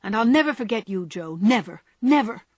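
A heartbroken young man, impassioned and pleading, as a woman reader puts him on. The voice is breathy and soft-edged, recorded dry and close. He speaks with a storybook earnestness. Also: medium pitch, animated, normal speed, no echo, natural voice. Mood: fierce.

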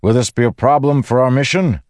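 A man voicing a brisk, businesslike armored knight in a fantasy audiobook, shouting in a clipped, direct manner. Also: mid-range voice, no room sound, studio quality, pitched above the performer's own voice, close to an everyday voice. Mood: impatient, businesslike.

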